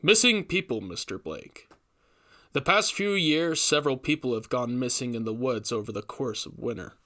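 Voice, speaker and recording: A man's voice: mid-range voice, animated, moderate pace, sharp tone. A man voicing an earnest, troubled young forest ranger in a storybook reading, thoughtful and hesitant. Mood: grave.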